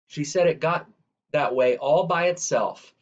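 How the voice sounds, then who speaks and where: A man reading a children's story aloud in a measured, expressive way, drawing out the ends of phrases. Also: on the high side, muffled recording. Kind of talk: read-aloud scripted narration.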